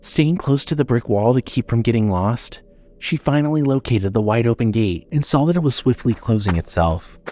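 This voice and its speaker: A man narrating a scripted horror story as storyteller, measured and suspenseful. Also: medium pitch, expressive, dark tone.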